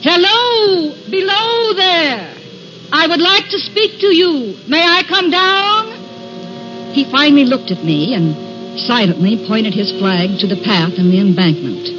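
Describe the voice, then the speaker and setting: Dull vintage radio sound carries a young woman magazine writer. Her voice is high and articulate. She is curious and self-possessed, in the theatrical style of classic radio drama, with music behind. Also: expressive, unhurried, a bit bright. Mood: calling out. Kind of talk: old-time radio drama acting, narration and dialogue.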